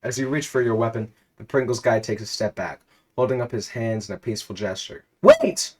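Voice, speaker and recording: Male storyteller, lowish voice, reading an absurd second-person tale with dramatic comedic narration. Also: fairly even intonation, a little fast.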